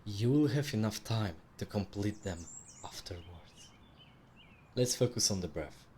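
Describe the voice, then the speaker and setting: A man narrating a guided meditation in a measured, soothing, instructive way with long pauses between phrases. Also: on the low side, expressive, mellow, a little hiss or hum. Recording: clearly audible background ambience with birdsong. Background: birdsong and nature ambience.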